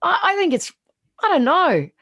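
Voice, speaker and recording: A woman in her thirties with a raspy voice and a strong Australian accent, talking bluntly as a guest in a casual interview. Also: very high voice, moderate pace, no echo, clean studio sound. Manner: blunt, animated, swears freely. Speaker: sports reporter, guest.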